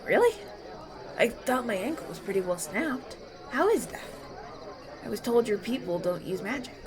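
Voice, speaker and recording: Mann spielt nervösen, lebhaften jungen Bauernburschen. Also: male voice, extremely high pitch, very animated, moderate pace. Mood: eager, polite. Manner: hesitant, earnest, stammering. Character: polite, nervous young human farm boy at elven banquet. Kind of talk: fantasy audio roleplay acting. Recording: banquet crowd chatter behind.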